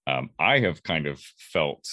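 A man with a bass timbre giving reflective interview answers as a voice actor, in a halting, thoughtful, measured way. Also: sing-song, bright, forceful tone, no echo, clean studio sound.

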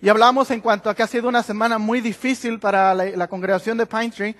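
High-voiced Mexican pastor in a hall. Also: male voice, flat delivery, fast talker, fairly bright tone. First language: Spanish. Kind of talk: bilingual sermon with own interpreting. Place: large church sanctuary.

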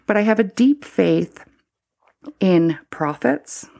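A middle-aged Canadian woman, a former priest, speaking reflectively in an interview with many pauses, fillers and restarts. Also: mid-range voice, lively intonation, vocal fry, warm tone. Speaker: former Anglican priest, guest.

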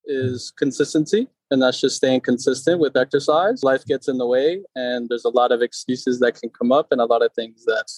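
Relaxed man in his thirties, dry sound. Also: mid-range voice, moderate pace, few pauses, husky, dry recording. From Connecticut, USA. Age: 30s. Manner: relaxed, many fillers. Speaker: personal trainer, guest. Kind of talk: casual interview.

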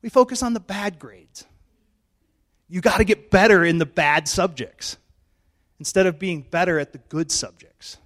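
Hoarse man preaching a chatty sermon. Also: high-pitched, moderate pace, fairly bright tone. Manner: chatty, self-joking, conversational. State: hoarse, losing his voice. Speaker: pastor. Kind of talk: informal sermon. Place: church. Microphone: handheld microphone.

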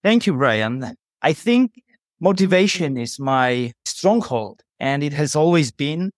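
A man's voice: a little high, lively intonation, slow pace, no echo, clean studio sound. A man with a Polish accent, a native Polish speaker, answering interview questions as a company head in an earnest, motivational way. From Poland. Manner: earnest, motivational, slightly non-native phrasing. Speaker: CEO guest.

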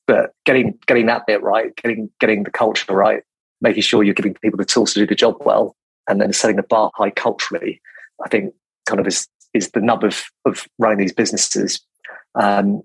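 A man in his forties, a recruitment business leader talking as a podcast guest in a quiet, calm, measured way with frequent restarts. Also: on the low side, gravelly, slightly rough, no echo, rough recording. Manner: quiet, calm, many restarts and repeated words. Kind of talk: measured business interview talk.

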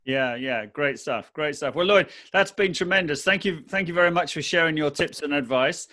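A man's voice: on the high side, moderate pace, sharp tone. A man hosting a podcast, chatting in a friendly way with frequent restarts. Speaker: podcast host. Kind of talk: casual podcast chat.

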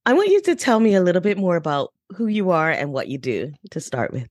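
Woman podcast host, low voice, super swoopy intonation, coach doing a chatty interview. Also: sing-song, brisk, a little mellow, no room sound, studio quality. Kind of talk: podcast introduction and conversational interviewing.

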